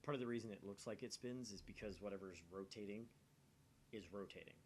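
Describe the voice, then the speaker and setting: A man's voice: a little fast, a little mellow. A man co-hosting a game read-along, mixing narration with casual commentary. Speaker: co-host reading a visual novel aloud. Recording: faint noise with low rumble.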